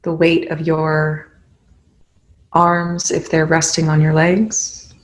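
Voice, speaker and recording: A meditation guide leading a guided meditation in gentle, instructional phrases with pauses between them. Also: mid-range voice, monotone.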